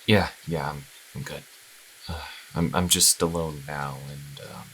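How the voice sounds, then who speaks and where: A man playing a troubled young priest losing faith in his church, speaking earnestly and haltingly in an audio drama. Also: very deep voice, fairly even intonation, fairly bright tone, a little hiss or hum, rough recording. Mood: shaken, hesitant.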